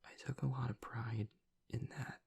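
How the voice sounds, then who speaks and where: A man playing a grieving young werewolf boyfriend, tough but vulnerable, choked up and halting as he confides in an intimate audio roleplay monologue. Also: mid-range voice, level tone, a little mellow. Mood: reverent, sorrowful. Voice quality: breathy, gravelly near-whisper. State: choked up with grief.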